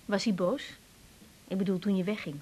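Actress as a caring young daughter, noisy recording. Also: female voice, mid-range voice, steady tone, normal speed, lightly acted. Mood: soothing, caring. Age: young adult. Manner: soothing, gently probing. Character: caring, practical grown-up daughter. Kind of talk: naturalistic 1980s radio drama dialogue.

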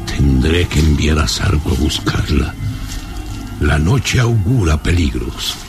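An actor playing a gaunt, imperious old baron in a radio drama, speaking gravely and theatrically. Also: male voice, rumbling low, expressive, heavily acted. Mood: brooding, worried. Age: older. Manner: slow, grave, theatrical, commanding. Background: dramatic music.

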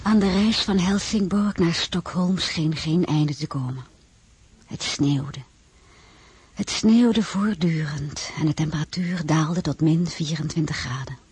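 An actress playing an elegant, homesick and imperious French princess in a radio drama, expressive and theatrical. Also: female voice, low voice, a little slow, breathy edge, dry recording, heavily acted. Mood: reflective, wry. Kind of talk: radio drama acting with first-person narration.

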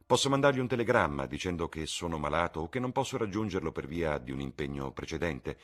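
Guy putting on a husky, airy aristocrat, raised and loud, swoops all over the place, mocking and theatrical. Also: low voice, very animated, fast, exaggerated voice. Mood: languid. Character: witty languid aristocratic gentleman, older man. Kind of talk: audiobook character voice.

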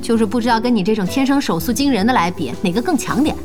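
A woman acting a feisty, animated young female boss. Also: on the high side, fast talker, music in the background, natural voice. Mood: chatty. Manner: exclamatory, scolding, teasing. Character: feisty young female internet cafe boss, quick-tempered and bossy. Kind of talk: Chinese audio drama acting.

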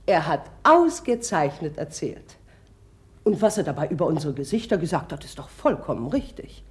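A woman playing an imperious, excitable married society lady in a 1950s radio drama, insistent and theatrical. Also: mid-range voice. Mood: gracious, probing. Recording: 1950s radio play recording.

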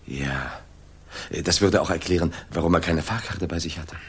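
An actor playing a detective's loyal, eager and puzzled companion in a 1960s German radio drama, questioning and slightly stammering. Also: male voice, sits low, normal speed, slight background noise, close to an everyday voice. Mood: eager, baffled.

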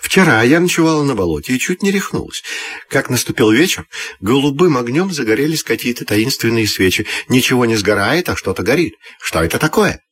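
Young female magpie for a storybook, male actor: curious, chattery, gossipy, full of amazement, a touch husky. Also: mid-range voice, a little fast. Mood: amazed, gossipy. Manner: excitable, gossipy.

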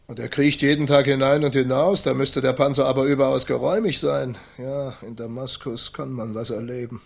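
Gravelly, even-toned veteran knight. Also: male voice, mid-range voice, a little fast. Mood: musing, skeptical. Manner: deadpan, colloquial, musing. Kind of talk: satirical radio play dialogue.

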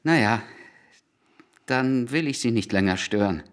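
A male actor plays an awkward, apologetic visitor in a radio drama. He is polite but evasive and hesitant. His voice has an ordinary middle pitch. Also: lively intonation, unhurried, natural voice.

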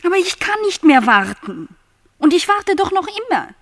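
An actress playing a lonely, discontented young housemaid in a literary radio play, plaintive and questioning in formal wording. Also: female voice, very high voice, sing-song, moderate pace, no room sound, clean studio sound. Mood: desperate, frustrated.

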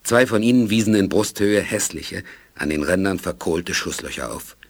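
A man's voice: low voice, animated, normal speed, dry recording. A man narrating a science fiction radio play in a scripted storytelling style. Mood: measured. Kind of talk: scripted audio drama narration.

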